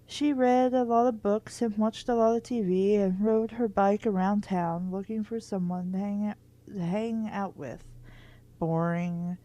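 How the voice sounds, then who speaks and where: A woman with a soft, mellow voice at a medium pitch hosts a casual read-aloud. She is informal and a little wry, tripping on words now and then as she goes. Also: fairly even intonation, a little slow. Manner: informal, stumbles over words and interjects wry asides. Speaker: host of a book reading series. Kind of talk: casual commentary and amateur reading aloud of a children's book.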